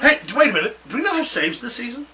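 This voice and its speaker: A man's voice: extremely high pitch, big pitch swings, racing, sharp tone, recorded from a distance. A man hosting a wrestling talk show with boisterous, jokey, profane banter and casual predictions.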